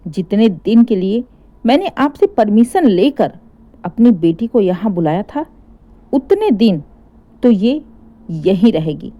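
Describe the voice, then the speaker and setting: A woman's voice: mid-range voice, very animated, slow pace, muffled recording. A woman voicing an indignant older mother defending her married daughter in a story, firm, sarcastic and emphatic. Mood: indignant, accusing.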